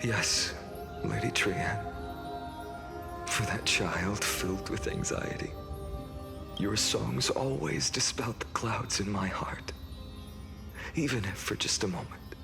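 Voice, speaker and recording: A man playing an earnest young heroic leader in a game, speaking in a measured, solemn, oratorical way. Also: fairly low pitch. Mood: gentle, wistful. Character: earnest, noble young male hero leader addressing his people. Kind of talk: dramatic game voice acting, solemn speech. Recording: game audio over clear background music.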